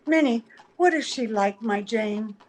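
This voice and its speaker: A woman playing a wistful, gentle, secretly sad young-looking woman in an old-time radio drama. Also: fairly high pitch, measured pace, close to an everyday voice. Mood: resigned. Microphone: video call. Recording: faintly noisy video-call recording.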